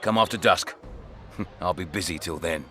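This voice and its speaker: An actor playing a menacing, self-important town commander in a fantasy video game, pompous and mocking. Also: male voice, sits low, measured pace, bright, forceful tone, faint music behind. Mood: cold, threatening. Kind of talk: cinematic fantasy game acting.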